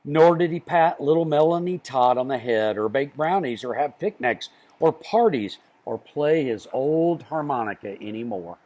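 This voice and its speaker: A man reads a children's picture book aloud. His mid-range voice moves through wide rises and falls, and he phrases each beat deliberately. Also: medium pitch, lively intonation, a little mellow. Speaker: children's storyteller.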